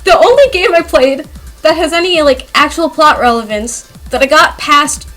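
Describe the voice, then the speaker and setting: High-voiced young woman rambling casually over music. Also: normal speed. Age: young adult. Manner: giggly, animated, self-deprecating. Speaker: YouTube artist telling personal stories. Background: background music.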